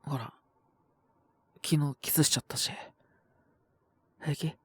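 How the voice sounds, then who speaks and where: A man voicing a possessive, teasing young half-human wolf man with a cold, in an intimate romantic audio roleplay, breathy and rough-spoken. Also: a little high, steady tone, takes it slowly, a bit bright, dry recording, full character voice. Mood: languid, tender. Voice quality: husky, breathy, often near a whisper. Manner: languid, rough casual speech, teasing. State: sick with a cold, coughing (acted).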